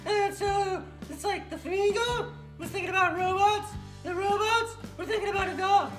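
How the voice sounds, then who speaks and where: An extremely high, childlike girl puppet, excitable and easily distracted. She rambles and repeats herself as she spins playful stories for kids. The room is echoey, with faint music behind. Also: normal speed. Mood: giddy, rambling. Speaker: children's puppet show host. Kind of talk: playful improvised storytelling for kids.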